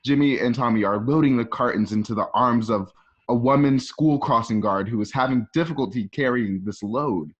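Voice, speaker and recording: A man's voice: medium pitch, normal speed, husky. A man narrating scripted scene directions for an online radio drama, now and then stumbling and correcting himself as he reads. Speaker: narrator reading screen directions. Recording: dull lo-fi home recording.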